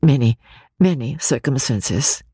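A woman reading a classic novel aloud, playing a caring, sensible young woman who speaks in an earnest, measured, gently urging way. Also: mid-range voice, no echo, pitched above the performer's own voice. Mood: solemn.

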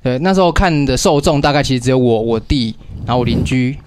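A young man with a Taiwanese accent hosting a casual radio chat, halting and joking about himself. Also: mid-range voice, expressive, a little mellow, a little hiss or hum. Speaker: radio show host and comic artist.

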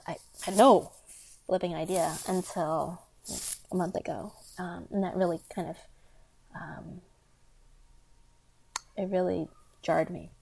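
A young woman with a fairly low voice talks through her thoughts at length, earnest and unpolished, with many fillers and self-corrections. Her first language was Thai Chinese. The recording is dry, with no room sound. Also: a little mellow, dry recording. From United States. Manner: earnest, thinking aloud with many fillers and restarts. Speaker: podcast host. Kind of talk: solo reflective monologue.

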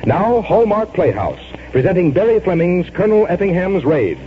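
A man's voice: high voice, very animated, normal speed, a little hiss or hum. A man announcing in a formal, scripted 1940s radio style. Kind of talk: 1940s announcer read, formal sales pitch. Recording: muffled 1940s radio broadcast.

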